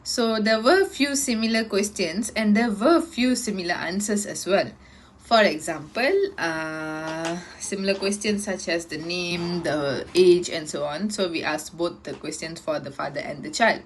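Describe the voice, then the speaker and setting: A woman's voice: fairly low pitch, a little slow, slight background noise. Young woman giving a class presentation. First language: Tamil. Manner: explanatory, with fillers. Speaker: student presenter.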